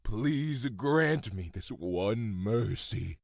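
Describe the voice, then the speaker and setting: A male actor playing a grief-broken older imprisoned father in an audio drama, halting, anguished and pleading. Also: on the low side, expressive, gravelly, darkish tone, faint music behind, full character voice.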